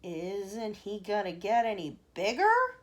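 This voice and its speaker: A woman voicing a dry, blunt father with a flat delivery. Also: medium pitch, takes it slowly.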